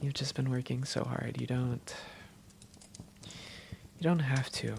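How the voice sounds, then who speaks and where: A woman playing an affectionate, self-doubting vampire girlfriend in a cozy roleplay audio, speaking in an intimate, teasing and sometimes halting way. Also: a bass voice, steady tone, gravelly, darkish tone, a little hiss or hum, heavily acted. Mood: worried, tender. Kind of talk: cozy roleplay audio, one-sided conversation.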